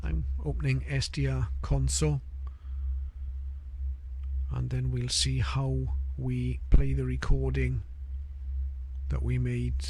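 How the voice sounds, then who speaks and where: A man giving an unscripted, step-by-step tutorial as he walks through software on screen. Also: mid-range voice, flat delivery, slow, creaky, pauses often, slightly rough. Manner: explanatory, step by step. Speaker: hobbyist tutorial presenter.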